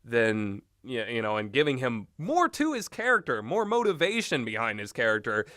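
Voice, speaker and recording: A man's voice: medium pitch, huge pitch swings, moderate pace, pushed and bright, dry recording, studio quality. A man giving casual, rambling commentary in a blunt, opinionated way, swearing freely as he goes. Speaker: solo commentator on visual novels.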